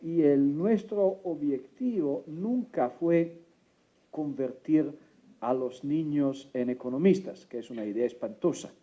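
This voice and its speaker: A man's voice: on the high side, highs cut off. Hesitant Italian-accented man. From Italy. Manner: halting, searching for words. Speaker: think tank representative. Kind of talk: presentation talk.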